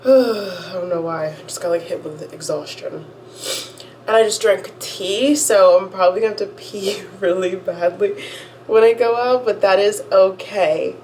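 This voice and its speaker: A woman's voice: medium pitch, unhurried, darkish tone, a little hiss or hum. A young woman vlogging at home, chatting casually while getting ready, a little congested as she gets over a cold. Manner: chatty, rambling, self-interrupting. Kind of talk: casual get-ready-with-me chat. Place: at home, indoors.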